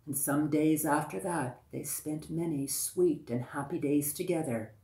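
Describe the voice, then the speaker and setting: Roomy echo, female storyteller, low pitch, unhurried deliberate delivery, repeated phrases, folktale style. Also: warm tone. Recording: echoey, roomy sound.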